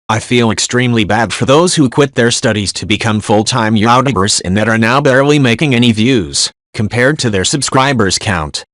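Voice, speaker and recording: Male narrator with a low, even read-aloud voice; dry recording. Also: no echo. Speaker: narrator reading forum posts. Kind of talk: reading forum posts aloud.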